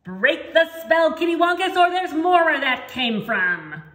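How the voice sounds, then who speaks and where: A woman reads a children's story in a high, very animated voice with playful theatrical delivery. She takes her time, in an echoey room with music behind. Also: unhurried. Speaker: children's author reading aloud. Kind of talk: animated storybook read-aloud with character voices. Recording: roomy echo with music behind.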